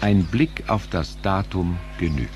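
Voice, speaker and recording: A man's voice: low-pitched, measured pace, loud music behind the voice. A man narrating an audio drama in a dramatic, scripted style.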